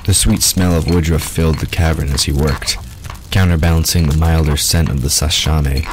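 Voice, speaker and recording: An extremely low male voice narrates a scripted story. He reads as the narrator of an audio drama, with faint ambience and noise behind him. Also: steady tone, normal speed.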